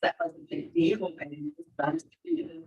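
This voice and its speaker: A woman's voice: lowish voice, sing-song, normal speed, warm tone. A woman in the audience chatting through an anecdote in a conversational way. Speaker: audience member. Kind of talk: telling an anecdote. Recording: roomy, from far off the mic.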